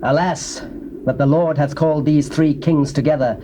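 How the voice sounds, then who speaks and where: A man's voice: on the high side, steady tone, moderate pace, mellow, slight background noise, lightly acted. An actor playing an anxious king of Israel who speaks in a lamenting way. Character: worried male king of Israel.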